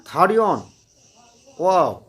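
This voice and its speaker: A man's voice: high voice, sing-song, drawn-out words, fairly bright tone. A man from Bangladesh with a Bangladeshi accent, hosting a casual video chat in simple, repetitive English full of compliments.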